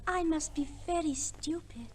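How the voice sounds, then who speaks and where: A woman's voice: high-pitched, a little slow, slight background noise. An actress playing a coquettish, self-centred married lady in a 1950s radio play, with a theatrical, affectedly sweet manner. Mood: coy, flirtatious.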